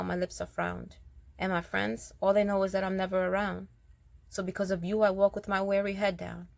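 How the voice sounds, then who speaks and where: A woman with a naturally raspy voice, a little rougher than usual, speaking earnestly to her listeners and reciting her own spoken word poem. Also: mid-range voice, dry recording. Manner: earnest, direct, addresses listeners as y'all. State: raspier than usual, throat slightly rough.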